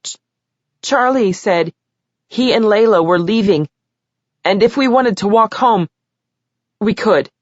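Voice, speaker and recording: A woman's voice: mid-range voice, animated, slow pace, long pauses, no echo, muffled recording, voice pushed up. A woman voicing a teenage girl who gives a tearful statement in court, her voice shaking as she cries. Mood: shaky. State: crying.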